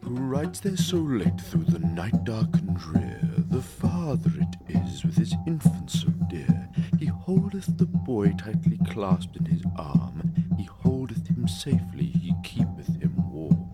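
A man's voice: medium pitch, very animated, over loud music. A man playing a brooding, forgetful spirit who guards graves, telling old tales in a solemn theatrical manner for an audio play. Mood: dramatic. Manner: solemn, theatrical, deliberate storytelling. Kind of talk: audio play with verse recitation.

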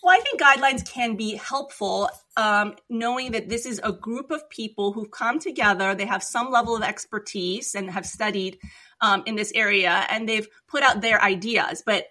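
Bright-voiced woman doctor from Iran. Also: mid-range voice. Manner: earnest, measured, with light laughs. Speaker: physician guest. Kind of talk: reflective interview talk.

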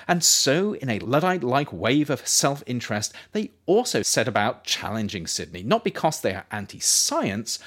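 A man reading scripted nonfiction narration as an author would, in a measured way with expressive emphasis on key phrases. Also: medium pitch. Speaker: author reading their own book, narrator.

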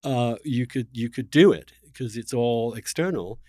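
A man hosting a casual, spirited interview, halting at first and then emphatic, arguing through rhetorical questions. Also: medium pitch, dark tone, no room sound, studio quality. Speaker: interview host.

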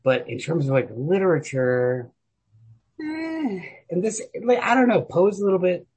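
A man chatting casually as a podcast co-host, in an informal, profane, rambling and opinionated way, with a raspy, gravelly voice. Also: high-pitched, lively intonation, unhurried, a little mellow. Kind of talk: casual literary chat.

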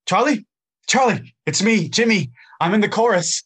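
An actor playing a young, homeless chorus actor from Arizona, earnest and pleading, in an audio drama. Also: male voice, high voice, expressive, normal speed, a bit bright, slightly rough, exaggerated voice. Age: young adult. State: shivering from cold.

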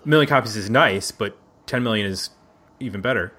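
A man's voice: fairly low pitch, fairly quick, pushed and bright. A man from Buffalo in the United States hosts a casual round-table music podcast in a chatty, jokey way, often correcting himself. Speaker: podcast host. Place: indoors at a neighbor's house.

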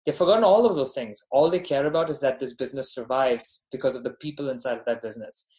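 A man's voice: mid-range voice, fast, a little mellow. Earnest man chatting as podcast guest. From born in Bahrain, grew up in Dubai. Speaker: podcast guest, company founder. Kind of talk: casual conversational interview.

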